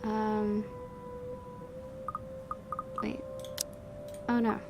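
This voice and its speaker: A woman's voice: mid-range voice, level tone, extremely slow, muffled recording. A woman hosting a gaming video, giving casual commentary and reading the story narration aloud. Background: game music.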